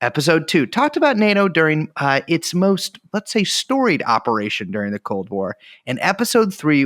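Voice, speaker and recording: A man co-hosting a podcast in casual joking chat, swearing freely and rushing through bits in deadpan bursts. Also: medium pitch. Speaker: podcast co-host.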